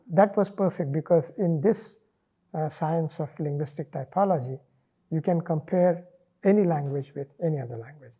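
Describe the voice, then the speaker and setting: Middle-aged Indian-accented man, native Marathi speaker, husky and measured, a bit lo-fi. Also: on the high side, dark tone. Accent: Indian English. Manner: measured, thoughtful, frequent "uh" pauses. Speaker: interviewee, linguist. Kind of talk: relaxed reflective interview.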